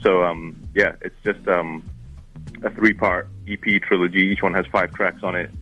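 A man's voice: low voice, steady tone, moderate pace, sharp tone, highs cut off, faint music behind. A man with an accent mixing Arabic and New Zealand English, a musician talking casually in an interview, rambling with many fillers and restarts. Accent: mix of Arabic and New Zealand. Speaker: musician guest.

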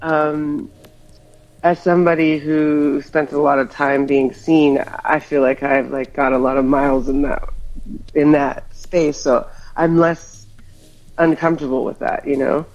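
A woman's voice: sits low, level tone, measured pace, a little mellow, dull audio, soft background music. A woman from Hawaii, a musician guest in a casual interview, talking reflectively and rambling with fillers.

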